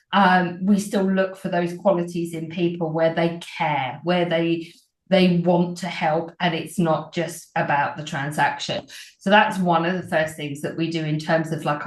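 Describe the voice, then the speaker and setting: Earnest woman, roomy sound. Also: fairly low pitch. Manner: earnest, many ums and restarts. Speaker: real estate agency owner and broker. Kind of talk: interview answers. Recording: roomy echo.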